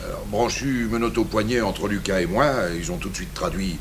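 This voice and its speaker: An actor playing an eager, deferential police inspector in a 1980s French radio drama. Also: male voice, lowish voice, moderate pace, natural voice. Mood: matter-of-fact. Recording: noisy old radio broadcast recording.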